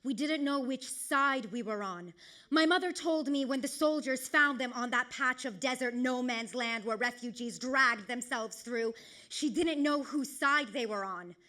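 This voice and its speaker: A woman performing a dramatic spoken-word monologue, with poetic, deliberate phrasing and a theatrical delivery that moves between hushed and forceful. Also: sits high. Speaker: actor and writer performing a self-written monologue.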